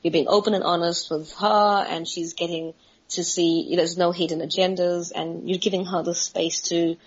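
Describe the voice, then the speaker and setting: A South African woman in her forties, speaking as a podcast guest, thoughtful and measured, with hesitant fillers. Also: on the low side, little pitch movement, breathy edge, lo-fi sound. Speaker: guest, friendship expert. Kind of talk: podcast interview.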